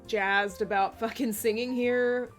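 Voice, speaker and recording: A woman's voice: a little high, fairly even intonation, a little slow. Informal, rambling woman over music. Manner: informal, rambling, frequent swearing. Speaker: co-host of a live stream chat show. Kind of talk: casual chat.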